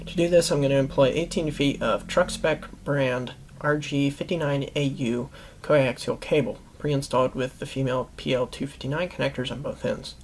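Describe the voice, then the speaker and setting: A man's voice: mid-range voice, fairly even intonation, moderate pace, slight background noise. A man hosting a how-to video, giving instructional narration to viewers. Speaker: host of a how-to product video series.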